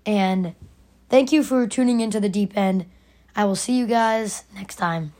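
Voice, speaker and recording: A raspy young woman hosting her own podcast, arguing her opinion emphatically and repeating her points. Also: medium pitch, measured pace, darkish tone. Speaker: podcast host. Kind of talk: opinionated solo podcast rant.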